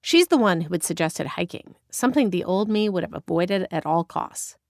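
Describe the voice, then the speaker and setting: A woman from Northern California narrating her own memoir as an audiobook, candid and expressive, on a close studio mic. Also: on the low side, normal speed, creaky, dry recording. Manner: expressive, candid first-person storytelling.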